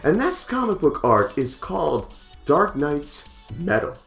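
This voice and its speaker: An excitable, emphatic man introducing his channel as host in an enthusiastic scripted style. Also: a little high, measured pace, vocal fry, faint music behind.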